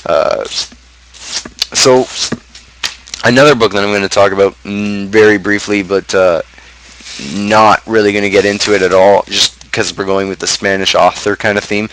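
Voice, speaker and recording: A man's voice: low voice, fairly bright tone, a little hiss or hum, rough recording. A man with a North American accent hosting a casual livestream talk show, rambling in blunt, slangy, crude language. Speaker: host of a gaming channel's talk show. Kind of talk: casual livestream chat, book review.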